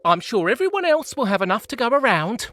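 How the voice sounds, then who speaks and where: Storybook plant roots, read by a man: helpful but touchy, matter-of-fact advice, animated delivery, dry sound. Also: very high voice, a little fast, no room sound, voice pushed up, full character voice. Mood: cross. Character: bossy, helpful, easily crossed talking plant roots.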